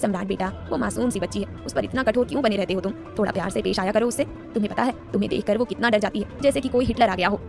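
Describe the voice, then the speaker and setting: A woman's voice: medium pitch, rapid-fire, vocal fry, few pauses, music in the background, lightly acted. A woman voicing an older, motherly nanny in an audio story, fondly scolding with an exasperated, protective manner. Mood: gently chiding. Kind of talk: dramatised audio story dialogue.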